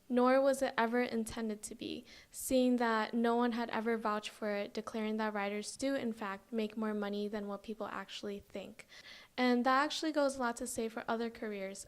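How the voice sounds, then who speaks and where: A young adult woman reading scripted narration in a formal manner, as a student reporter. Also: fairly high pitch.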